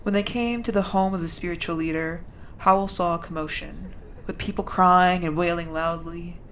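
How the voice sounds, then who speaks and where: A woman playing a grieving, solemn speaker at a noble family's funeral in a fantasy audio drama, with measured, halting delivery. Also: lowish voice, steady tone, fairly bright tone, slight background noise, natural voice. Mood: solemn, reverent. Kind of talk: audio drama eulogy with solemn scripture-like reading.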